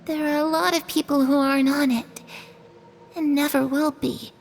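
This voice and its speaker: A woman voicing a frail, timid young girl who speaks slowly and hesitantly and slips into eerie whispers. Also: high-pitched, fairly even intonation, creaky, slight background noise. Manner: slow, hesitant, drifting into whispers. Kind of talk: video game dub acting.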